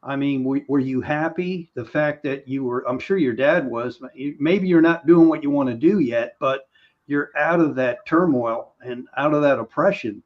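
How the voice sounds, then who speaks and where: A man's voice: mid-range voice, moderate pace. A man hosting a live-stream interview, asking long rambling questions with restarts. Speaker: host. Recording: dull lo-fi sound.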